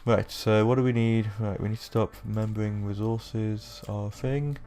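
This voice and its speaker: A young man with a sore, croaky throat, rambling casually through let's-play commentary with lots of fillers. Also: on the low side, fairly even intonation, moderate pace, mellow, a little hiss or hum. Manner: rambling, self-deprecating, with many fillers. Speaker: gaming YouTuber.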